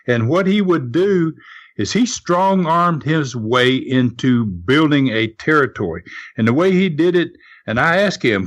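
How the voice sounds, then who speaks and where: A man with a medium-pitched voice recounts old stories as an interview guest. He is casual and folksy, and he re-enacts remembered exchanges, quoting each side in turn. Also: muffled recording. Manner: folksy, animated retelling, acting out both sides of conversations. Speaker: interview guest recalling wrestling history. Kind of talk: casual storytelling with re-enacted dialogue.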